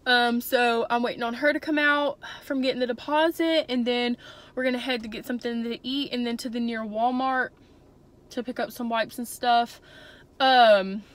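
A woman's voice: a little high, steady tone, normal speed. A young woman talking casually to a phone camera from her car, rambling with lots of fillers. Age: young adult. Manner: chatty, rambling, many "um" and "like". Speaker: vlogger. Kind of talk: casual vlog chat. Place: inside a parked and moving car. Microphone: phone camera on the dashboard.

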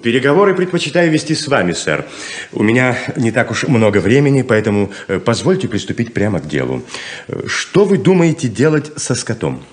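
An actor playing a smooth-talking, self-assured rainmaker con man in a theatrical 1970s Soviet radio play. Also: medium pitch, big pitch swings, a little fast, lightly acted. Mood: grand, persuasive. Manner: theatrical, grandly persuasive.

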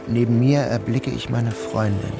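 An actor playing a troubled, dazed man who narrates his own day in a measured, literary radio drama style. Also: medium pitch, steady tone, creaky, over loud music, close to an everyday voice. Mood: uneasy, dreamlike. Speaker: first-person narrator.

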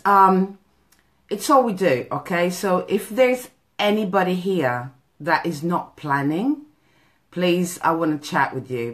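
A woman, coaching live over a phone camera in a small office, chatty, with many ums and "okay?" tags. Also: fairly low pitch. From Portugal. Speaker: business coach. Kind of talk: live video talk to a group. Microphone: phone camera at arm's length.

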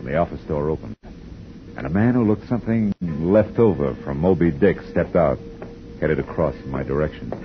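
A man's voice: rumbling low, animated, moderate pace. An actor playing a dry, wisecracking male private detective, narrating and talking in a 1950s radio drama. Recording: muffled 1950 radio broadcast with music.

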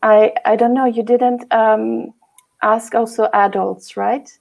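A woman's voice: a little high, steady tone, slow, creaky, darkish tone. A woman hosting an interview on a video call, asking questions and adding her own experience. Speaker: host.

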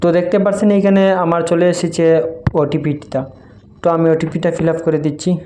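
Higher-voiced man giving a noisy app tutorial. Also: flat delivery, normal speed, mellow. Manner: repetitive, explanatory, mixing in English tech terms. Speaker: tech tutorial presenter. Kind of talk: informal step-by-step app tutorial. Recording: noisy home-made recording with clicks.

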